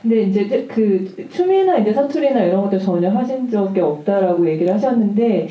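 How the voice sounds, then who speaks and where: The sound is muffled and roomy, picked up from a distance. A woman with a medium-pitched voice moderates a film Q&A in tentative, roundabout phrases. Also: animated, a little fast. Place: cinema hall at a festival Q&A.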